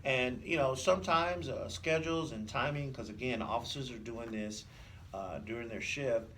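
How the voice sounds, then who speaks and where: A man speaking as a police officer in an interview, explaining things haltingly and often repeating words. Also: medium pitch, fairly bright tone. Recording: slightly distant mic, faint noise.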